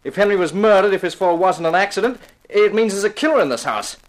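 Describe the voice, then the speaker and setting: An actor playing an agitated, suspicious heir in a 1950s radio drama, urgent and insistent. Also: male voice, sits high, animated, fast. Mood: alarmed.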